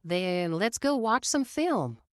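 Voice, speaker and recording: A woman voicing a calm male race car crew chief who speaks in a level tone. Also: medium pitch, slow pace, no room sound, studio quality.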